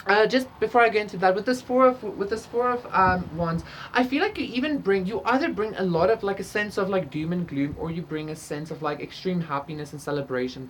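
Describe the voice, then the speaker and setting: A woman, an Afrikaans speaker, talks casually through a tarot reading in a somewhat low voice. She is chatty, with frequent "like" and "okay?" tags. A faint hiss sits under the recording. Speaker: tarot reader.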